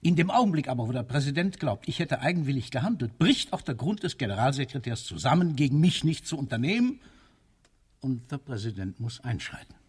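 A husky, imperious military ruler. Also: male voice, mid-range voice, lively intonation, a little fast, darkish tone. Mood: coolly argumentative. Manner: commanding, coolly argumentative, declamatory. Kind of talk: radio play acting.

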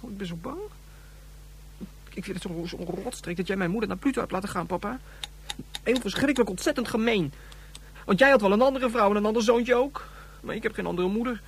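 A man's voice: high-pitched, brisk, slight background noise. A male actor playing a frightened, accusing young boy in a radio drama, speaking in emphatic, repetitive childlike phrases. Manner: childlike emphatic stacked words, repeating himself.

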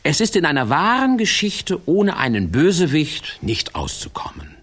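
An actor playing a quirky fairy-tale storyteller in a children's radio play, rambling and talking straight to the listener in a whimsical way. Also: male voice, on the high side, very animated, normal speed, fairly bright tone. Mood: musing. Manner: whimsical, digressive, addressing the listener directly.

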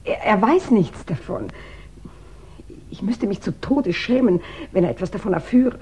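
An actress playing a defensive, emotional widow in a 1960s German radio crime drama, hesitant and intense. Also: female voice, mid-range voice, animated, moderate pace, warm tone, slightly rough. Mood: confessing, agitated.

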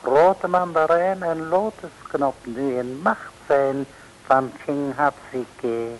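A man's voice: fairly high pitch, steady tone, takes it slowly, low-quality recording. An actor playing a sly, mock-humble henchman villain in an old radio comedy serial, speaking ceremoniously in stilted broken grammar.

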